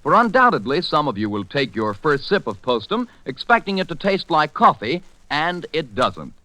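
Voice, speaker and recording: A man's voice: fairly high pitch, sing-song, moderate pace, bright, forceful tone, no room sound, poor audio. A man announcing in a polished, emphatic 1940s radio style, reading scripted sponsor copy and introductions on a vintage broadcast.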